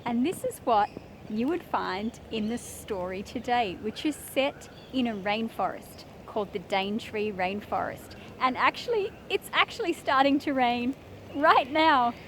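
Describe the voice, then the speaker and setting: A woman hosting a children's read-aloud, speaking in simple, deliberate phrases as if straight to young listeners. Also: fairly high pitch, slight background noise. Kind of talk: slow picture-book read-aloud with friendly asides to young viewers.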